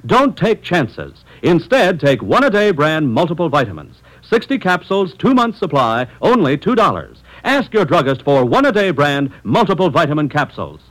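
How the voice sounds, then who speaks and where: An American man announcing a scripted vintage radio advertisement with emphatic, deliberate phrasing. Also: fairly high pitch, big pitch swings, low-quality recording.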